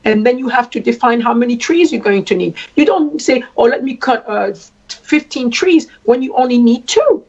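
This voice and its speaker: A woman from Congo, a traditional queen, speaking at length in a deliberate way and repeating phrases for emphasis. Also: on the high side, lively intonation, darkish tone, slightly rough. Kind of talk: spoken address. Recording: dull lo-fi sound.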